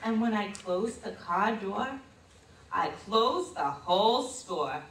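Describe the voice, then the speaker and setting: An actress playing a reticent, embarrassed old lady reminiscing about her youth in a theatrical stage monologue, hesitant and confiding with dramatic pauses. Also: mid-range voice, animated, fairly bright tone. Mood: wistful, embarrassed. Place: live stage performance in a hall. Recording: distant mic in echoey room, noisy.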